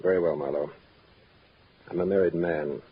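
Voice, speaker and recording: A man's voice: sits low, fairly even intonation, moderate pace, close to an everyday voice. An actor playing a guarded, businesslike married man of means, curt and stiff, in an old radio drama on a muffled, noisy recording. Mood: rueful. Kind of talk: mid-century radio drama acting.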